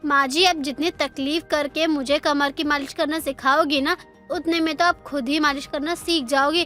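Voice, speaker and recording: A woman's voice: high voice, fairly even intonation, normal speed, pushed and bright. A woman voicing a lazy, insolent young daughter-in-law who argues back in a moral story cartoon. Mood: insolent refusal. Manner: defiant, argumentative. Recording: music under the voice.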